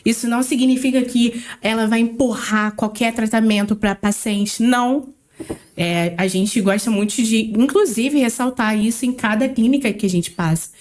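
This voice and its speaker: A woman with a Rio de Janeiro accent, co-hosting a casual podcast and talking with animated emphasis. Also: fairly high pitch, normal speed. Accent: Rio de Janeiro Portuguese. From Rio de Janeiro, Brazil. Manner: animated, emphatic, laughs easily. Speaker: podcast co-host.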